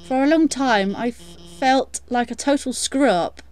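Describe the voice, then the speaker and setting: A woman's voice: sits high, measured pace, a little mellow. A woman voicing a shy, nervous lizard scientist in a game, stammering and hesitant. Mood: anxious, confessional. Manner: hesitant, self-doubting, earnest. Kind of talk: voiced game dialogue.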